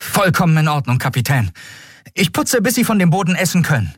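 A man's voice: on the high side, sing-song, a little fast, slightly rough, no echo, studio quality, voice pushed up. A man voicing an awkward, likeable boy deckhand in an audiobook, eager, polite and hesitant.

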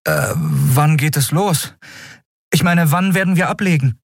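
A man's voice: a little high, very animated, unhurried, husky, dry recording, clean studio sound, voice pushed up, heavily acted. A man voicing an awkward, likeable boy deckhand in an audiobook, eager, polite and hesitant.

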